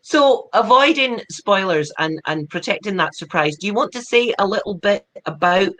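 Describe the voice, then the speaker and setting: An interviewer hosting a fellow author's book talk, encouraging and playful in tone. The voice sits at a middle pitch, easy and conversational. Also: animated, moderate pace, bright, forceful tone.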